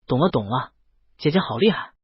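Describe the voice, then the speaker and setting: Woman as a gentle dragon boy, very husky, much lower register, naive, storybook character, lo-fi recording. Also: expressive, fairly quick, no echo, full character voice.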